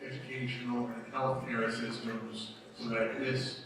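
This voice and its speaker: A man in his sixties with a slight East Coast accent speaks at a podium in a big, very echoey hall. His voice is bright and carries from some distance off the mic, over a faint hum. He sounds earnest and chatty, trading easy jokes with the room. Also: medium pitch, little pitch movement, a little slow. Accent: slight East Coast American. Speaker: political candidate. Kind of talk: campaign forum speech. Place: large hall.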